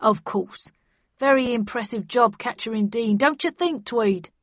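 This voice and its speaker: A woman's voice: mid-range voice, normal speed, fairly bright tone, dry recording, muffled recording. Woman playing an emphatic, commanding male official. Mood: pleased, kindly. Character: stern but kindly male bellman, authoritative official in charge. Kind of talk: audiobook character acting.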